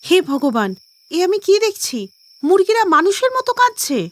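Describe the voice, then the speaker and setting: Woman as a high-voiced young cartoon bride. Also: big pitch swings, normal speed, dry recording. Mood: astonished. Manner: polite, earnest, questioning. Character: young newlywed daughter-in-law, polite, puzzled, earnest.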